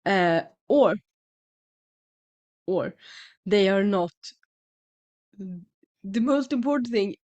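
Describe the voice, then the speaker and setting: A woman from Sweden with a Swedish accent, talking casually to her channel's viewers and often pausing with fillers and restarts. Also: medium pitch, animated, darkish tone. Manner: hesitant, many fillers and restarts. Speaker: channel host. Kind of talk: casual monologue to camera.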